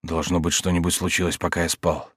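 A man voicing a dying king in a storybook reading, frightened and pious, speaking in a halting, prayerful way. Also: low-pitched, a little mellow, no echo, studio quality. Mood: weak, devout.

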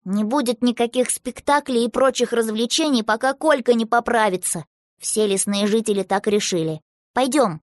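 A woman acting out an insistent character in a children's fairy tale, loud and firm. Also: on the high side, moderate pace, no echo, clean studio sound, close to an everyday voice.

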